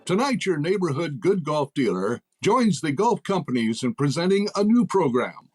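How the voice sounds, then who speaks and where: An actor playing an excited 1930s radio sponsor announcer, grand and declamatory, with a husky edge. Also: male voice, a little high, normal speed, faint music behind.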